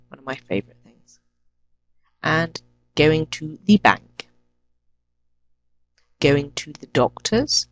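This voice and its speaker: English woman, 30s, teacher; low pitch, measured, clear delivery. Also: poor audio. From England. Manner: clear, deliberate, lists items one by one. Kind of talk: self-introduction to a teaching channel.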